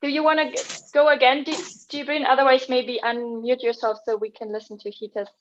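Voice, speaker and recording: A woman's voice: high-pitched, flat delivery, fairly quick, dull audio. A woman moderating an online panel in a casual, unscripted way. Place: online video meeting. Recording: noisy video call, clicks.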